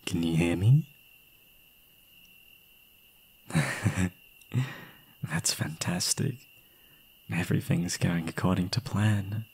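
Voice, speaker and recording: A young man playing an obsessive, gleeful yandere kidnapper in an intimate ASMR roleplay, doting on the listener in a breathy voice. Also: low-pitched, slow pace, darkish tone, full character voice. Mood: smug, doting. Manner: doting pet names, unhinged chuckling, swinging into whispers.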